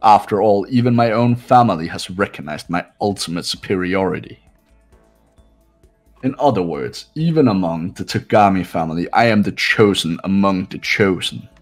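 Guy doing a snobby rich young heir for a game, low voice, pompous and condescending, music behind. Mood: pompous, self-satisfied. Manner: pompous, haughty, measured. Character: arrogant, condescending wealthy young male heir. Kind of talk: visual novel game voice-over.

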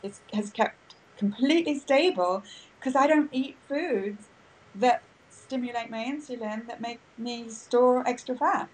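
A woman's voice: fairly high pitch, a little slow, darkish tone, slight background noise, poor audio. A woman podcaster answering interview questions in an upbeat, storytelling way over a video call. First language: English. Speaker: guest, podcaster.

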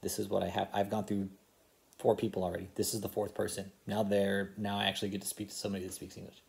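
A man's voice: fairly low pitch, flat delivery, quick pace, vocal fry, noisy background. Man in his thirties on a call. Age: 30s. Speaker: scam-baiter vlogger. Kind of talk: phone conversation with asides to camera. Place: kitchen at home, indoors. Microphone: phone or laptop camera close in front.

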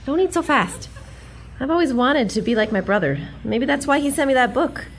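A woman's voice: high-pitched, lively intonation, moderate pace, very noisy. A woman playing a plucky, earnest young American heroine in a pulp adventure audio drama, spirited and wry. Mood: worried, tender.

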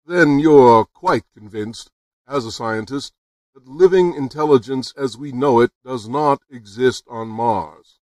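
A man's voice: mid-range voice, a little slow, darkish tone, no room sound, far from the mic, natural voice. A man acts out an earnest radio news reporter in an old-time radio drama. He announces formally, as if live on location. The sound is dry and a little away from the microphone. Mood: probing. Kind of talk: 1930s radio drama acting, live broadcast commentary.

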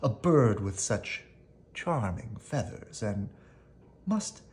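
A reader acts out a sly little storybook fox. He coaxes and flatters, his pitch swooping up and dropping softly. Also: takes it slowly, a little hiss or hum. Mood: flattering. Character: cunning little male fox, sweet-talking flatterer.

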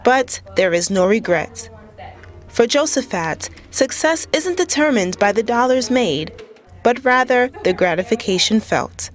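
A woman's voice: fairly high pitch, expressive, a little slow, soft background music. A woman reporter reading a scripted narration for a local news feature.

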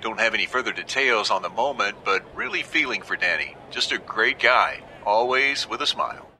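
An actor playing a genial television baseball commentator in an audio drama. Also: male voice, lowish voice, moderate pace, background noise, natural voice. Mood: genial, sympathetic. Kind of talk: sports commentary.

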